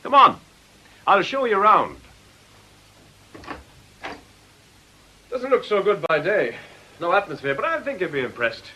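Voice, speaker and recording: A worldly male club owner with a bright, forceful voice. He speaks on the high side and swings widely in pitch, breezy and sardonic as he dodges in radio drama style. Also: very animated, fairly quick, slightly rough, exaggerated voice, low-quality recording. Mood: sardonic, evasive. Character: glib, cagey nightclub owner, a worldly man.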